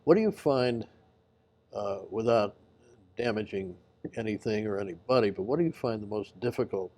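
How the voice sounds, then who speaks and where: A man with a lowish, mellow voice hosts an interview show. He asks questions gently and hesitantly, with pauses and filler words between phrases. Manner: gentle, hesitant, many ums. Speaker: talk show host.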